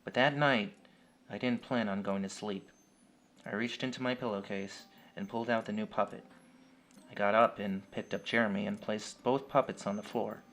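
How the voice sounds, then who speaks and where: A man's voice: lowish voice, steady tone, moderate pace, a bit bright. A man reading a scripted first-person horror story as its storyteller. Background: music.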